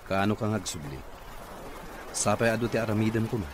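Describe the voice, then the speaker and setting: A man's voice: fairly low pitch, a little fast, natural voice. A man playing a stern, curt office boss, with an Ilocano accent, in radio drama acting. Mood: curt, grudging. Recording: noisy radio drama recording.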